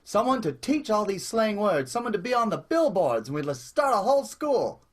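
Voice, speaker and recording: Here is a vaudeville-era entrepreneur in a comic radio play. He is eager and bumbling, with a husky voice that swings up and down. He rambles through his pitch like a salesman. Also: sing-song, moderate pace.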